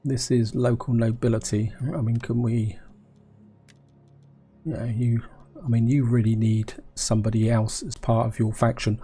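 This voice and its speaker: A hoarse man with a cold, musing aloud as he plays a strategy game, often asking himself questions and speaking to his viewers. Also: medium pitch, little pitch movement, normal speed, dark tone. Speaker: gameplay commentator.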